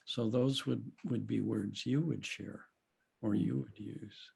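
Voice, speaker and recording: A man with a deeply gravelly voice gives a reflective teaching talk over a video call. He speaks slowly and gently, with a husky edge. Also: steady tone. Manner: gentle, unhurried, laughs softly. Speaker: spiritual teacher leading a group call.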